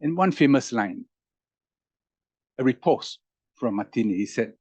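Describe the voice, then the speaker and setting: Animated man musing aloud with a lively pitch. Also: a little slow, husky, dry recording. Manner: wondering, rhetorical questions, emphatic rises. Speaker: roundtable panelist. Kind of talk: animated reflective public talk.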